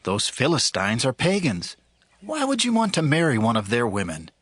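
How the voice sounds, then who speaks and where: Casting: male actor as disapproving father, exasperated, lively pitch, medium register, dry audio drama sound. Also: moderate pace, no room sound.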